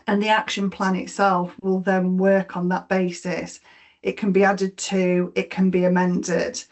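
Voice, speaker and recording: A middle-aged woman with a Yorkshire accent walks through a presentation, her voice on the low side for a woman. She keeps to a narrow, even pitch. Her delivery is explanatory, full of "um"s and small restarts. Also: a little slow. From Yorkshire, England. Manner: explanatory, with frequent fillers and repeated words. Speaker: mortgage lender presenter. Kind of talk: webinar presentation.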